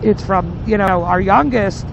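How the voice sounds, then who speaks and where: A woman's voice: medium pitch, unhurried, gravelly. A middle-aged woman vlogger chatting casually in a rambling, conversational way over a helmet intercom while riding a motorcycle. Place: outdoors on a moving motorcycle. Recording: muffled helmet intercom, loud road noise.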